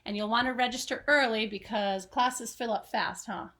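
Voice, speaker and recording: A woman's voice: on the high side, fairly even intonation, moderate pace, a bit bright. A woman reading aloud to young children as host of a story time, in a dramatic, singsong storytelling manner. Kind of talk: expressive picture-book read-aloud for young children.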